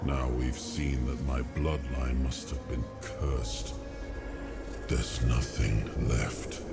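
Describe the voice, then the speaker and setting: Fantasy game role: grave, world-worn king consumed by guilt, extremely low and unhurried voice. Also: creaky, exaggerated voice. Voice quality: very deep, heavy. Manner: slow, grave, regretful delivery. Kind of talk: brooding dramatic monologue for a fantasy video game. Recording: music playing behind the voice.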